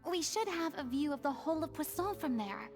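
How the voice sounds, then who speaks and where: A remorseful young woman in a video game, soft and wistful, who often drops into a half-whisper. Her voice is high, with gravelly edges, and faint music plays beneath it. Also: lively intonation, fairly quick. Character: remorseful young woman, former goddess pretender.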